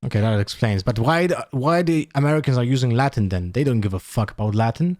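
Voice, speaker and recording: A man's voice: medium pitch, quick pace, dry recording. This is a man going over game footage, talking things through as they occur to him. His voice rises and falls a great deal and he drops swear words casually. The sound is close and dry, with no room around it. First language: Polish. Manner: animated, swears freely, thinks aloud. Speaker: esports analyst streamer. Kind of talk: casual VOD breakdown.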